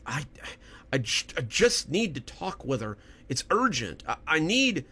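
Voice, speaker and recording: A man performs a desperate writer from a horror tale. The voice sits high and strained with pleading, halting and stammering through each phrase, lost and confused. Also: big pitch swings, pushed and bright, breathy edge, exaggerated voice. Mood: urgent, flustered. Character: frantic stuttering male writer, confused and pleading. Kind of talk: horror audio story character voice.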